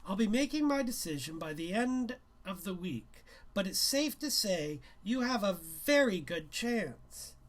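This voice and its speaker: Man as woman art teacher, raised pitch, firm, approving, instructive, storybook character. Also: very animated, full character voice. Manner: telling more than asking, measured.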